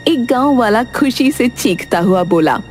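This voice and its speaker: A woman's voice: fairly high pitch, lively intonation, a little slow, darkish tone, faint music behind. A woman narrator reading a scripted moral tale in a storytelling style.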